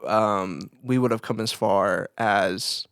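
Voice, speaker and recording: A man's voice: on the low side, takes it slowly, a bit bright, clear, resonant tone, no echo, studio quality. A man chatting casually as a podcast co-host, in an informal conversational style.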